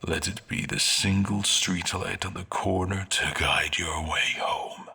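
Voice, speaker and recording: A man playing a brooding, grandiose schemer in a fantasy audio drama, with solemn, ornate, deliberate delivery and a husky, breathy voice. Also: low voice, fairly even intonation, pushed and bright, heavily acted. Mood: solemn, prophetic.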